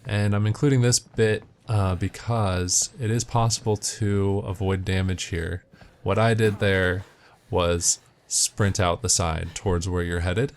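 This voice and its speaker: A man's voice: low voice, fairly even intonation, a little slow, smooth. A man giving matter-of-fact, step-by-step instructional commentary as he narrates a video game walkthrough.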